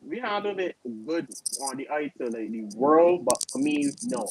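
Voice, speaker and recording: A young man co-hosting a casual football chat, relaxed and slangy, often trailing off mid-sentence. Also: a little high, normal speed, gravelly, a loud crowd. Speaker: co-host. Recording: dull lo-fi sound.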